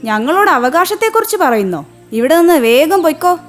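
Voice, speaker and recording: Vain pond fish for a kids' cartoon, woman performer: high, lively swooping voice, haughty, scornful. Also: a little fast, soft background music. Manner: haughty, mocking. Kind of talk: children's cartoon story voice acting.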